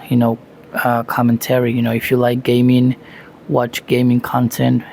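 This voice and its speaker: Argentine guy, spanish first language, gravelly voice, pretty monotone, says "you know" a lot, bit of faint hiss behind. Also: medium pitch. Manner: leans on "you know" and "um", restarts phrases. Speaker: language learning youtuber. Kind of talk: casual talking-head advice.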